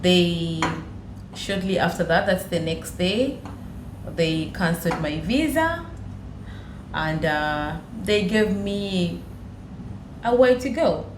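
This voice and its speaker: A woman's voice: fairly low pitch, lively intonation, slow pace, long pauses. A woman from Uganda with a Ugandan accent, telling personal stories in conversation as a podcast guest and repeating phrases for emphasis. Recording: echoey room with clear background noise.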